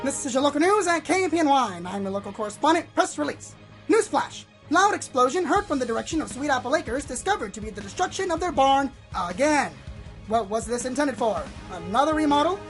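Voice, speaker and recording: A woman playing a brisk, dramatic pony news correspondent delivering a mock bulletin. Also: high voice, lively intonation, soft background music. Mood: dramatic, probing. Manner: punchy, dramatic announcing.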